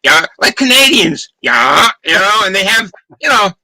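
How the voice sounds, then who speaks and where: A man with a thick Boston accent talks through a beer's flavor in careful, descriptive detail. His voice sits high and very bright, with a sharp edge. The sound is dry and close over a video call. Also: very animated, moderate pace, creaky, no echo, low-quality recording. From Boston, Massachusetts. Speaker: beer reviewer, co-host. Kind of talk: casual chat, beer tasting review.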